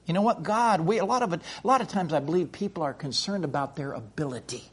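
Man, middle-aged, from the US, sermon, folksy, repeats himself, husky, high. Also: fast. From United States. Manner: folksy, repeats words and starts over. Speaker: preacher.

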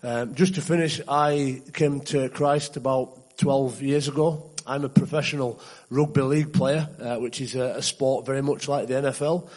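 A man's voice: mid-range voice, fairly even intonation, moderate pace, hardly any pauses, darkish tone. A man with a West Yorkshire accent speaks politely and earnestly at a church service. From England. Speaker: visiting churchgoer. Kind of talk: church testimony.

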